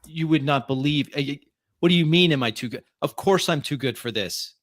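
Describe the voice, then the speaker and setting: A man's voice: on the high side, brisk, a bit bright, no room sound, studio quality, pitched above the performer's own voice. A man plays a snobbish male vampire in a tabletop horror roleplay. He is fussy and exasperated, stammering his emphatic protests. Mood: indignant.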